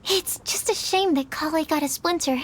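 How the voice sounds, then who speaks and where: A woman voices a sweet young female apprentice in a video game. Her extremely high voice is gentle, shy and politely conscientious. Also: normal speed, slight background noise, natural voice. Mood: pleased. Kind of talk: video game character acting.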